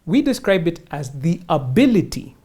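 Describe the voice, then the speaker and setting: A woman's voice: on the low side, sing-song, moderate pace, dark tone, slightly rough. A woman speaks as a finance instructor in conversation. She explains step by step with emphasis, setting up questions and then answering them. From Kenya or wider Africa. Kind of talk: explanatory interview talk.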